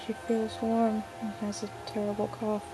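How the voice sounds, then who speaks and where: A woman voicing a gentle, coy young female dog in an amateur cartoon dub, speaking softly and with care. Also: mid-range voice, monotone, normal speed, close to an everyday voice. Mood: gentle, concerned. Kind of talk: amateur fandub of an animated film. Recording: poor home recording, music behind.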